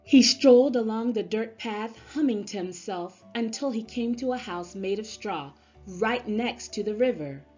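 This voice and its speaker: Husky woman reading a storybook, very slowly. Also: a little high, animated, a little mellow. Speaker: storybook reader. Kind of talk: expressive children's read-aloud narration. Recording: slightly dull sound, music underneath. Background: music.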